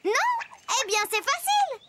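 Very high-pitched little girl. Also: moderate pace. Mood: playful, knowing. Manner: expressive, playful. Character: lively, cheeky little girl storyteller. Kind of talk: children's cartoon storytelling. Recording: loud music behind the voice.

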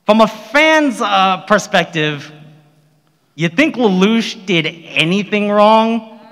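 Gushing high-voiced man in a big hall. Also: animated, takes it slowly, a bit bright. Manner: effusive, gushing. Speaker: audience member asking a question. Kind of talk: casual fan talk. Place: large convention panel room. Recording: reverberant hall, audience microphone.

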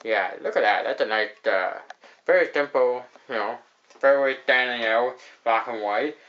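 A man's voice: mid-range voice, moderate pace, fairly bright tone, muffled recording. Rambling man reviewing beer. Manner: rambling, repeats "actually", lots of fillers. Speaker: host of a beer review show. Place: small room indoors at a table.

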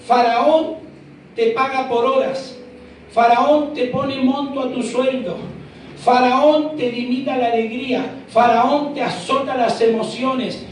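A middle-aged man with an Argentine accent, preaching a fervent sermon with shouts and quips to a packed church. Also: very high voice, recorded from a distance. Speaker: pastor. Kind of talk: energetic sermon. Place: large packed church hall. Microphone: handheld mic. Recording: echoey hall, crowd noise. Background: congregation noise.

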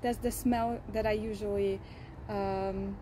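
Young woman, from Russia, interview answers, reflective pauses, outdoor background noise. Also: mid-range voice, level tone, dark tone. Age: young adult. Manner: thoughtful, pausing with ums. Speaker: summer school participant. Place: outdoors beside a building, under a canopy.

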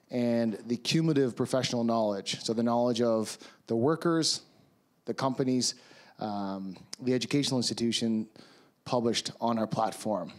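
A Canadian man in his thirties speaks on a conference stage through a handheld microphone. His voice sits at an ordinary middle pitch. He talks in a relaxed, conversational way, with frequent ums and uhs. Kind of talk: conference presentation. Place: large conference hall with audience.

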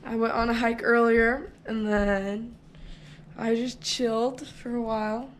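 Teen girl vlogger, slow rambly chat, higher voice, noisy background, camera at arm's length. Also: level tone. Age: teens. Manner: chatty, rambling, with fillers and trailing sentences. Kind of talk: casual vlog chat. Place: inside a car, then a house. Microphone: camera held at arm's length.

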